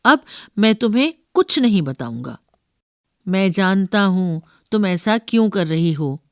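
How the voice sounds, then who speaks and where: A woman's voice: mid-range voice, unhurried, no room sound, studio quality. A woman voicing an eager, impatient young grandson who is easily annoyed, in a storybook reading. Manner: insistent, excitable.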